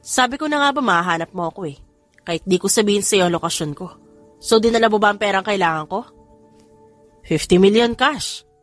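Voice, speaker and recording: A woman's voice: mid-range voice, animated, fairly quick, long pauses, fairly bright tone, close to an everyday voice. A woman voicing a bitter, taunting male kidnapper in an audiobook drama, with music behind. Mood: smug. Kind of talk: audiobook character dialogue.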